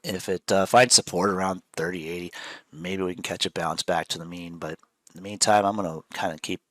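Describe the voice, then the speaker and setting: A man with a deep, low-pitched voice talks through trading charts over a video call, loose and rambling, with plenty of "uh" and "um". He has a head cold and sniffles now and then. The recording is dry, with no room sound at all. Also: fairly quick, no room sound. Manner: relaxed, rambling, frequent fillers. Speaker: show host, trader. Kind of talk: casual chart analysis chat.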